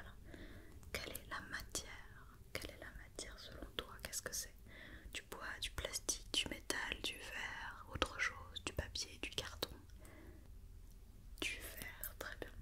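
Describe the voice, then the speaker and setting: Whispering woman, bright and crisp ASMR. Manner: patient, gentle repetition of questions and reassurance. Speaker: ASMR roleplayer playing a doctor. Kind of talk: whispered ASMR medical check-up roleplay.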